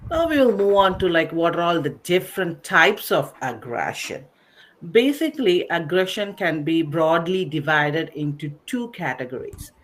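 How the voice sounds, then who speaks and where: A woman presenting a slide-based nursing lecture, explaining in short phrases with pauses in a didactic way. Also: fairly low pitch, big pitch swings, rough recording. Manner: didactic, recapping, pausing between short phrases. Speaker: webinar presenter, nursing educator.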